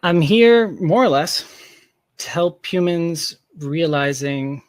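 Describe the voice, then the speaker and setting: Guy being interviewed, kinda high voice, dry close sound, thinking out loud and rewording stuff. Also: expressive, takes it slowly, dry recording. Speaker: interview guest, tech founder. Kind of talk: casual reflective conversation.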